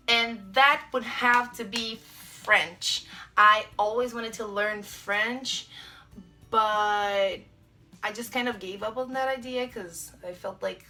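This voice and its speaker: Bright-voiced Brazilian woman vlogger. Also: a little high. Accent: Brazilian Portuguese. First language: Portuguese. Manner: chatty, many ums and likes, gestures a lot. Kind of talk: casual to-camera vlog answering tag questions. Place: small bedroom indoors. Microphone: camera mic at close framing.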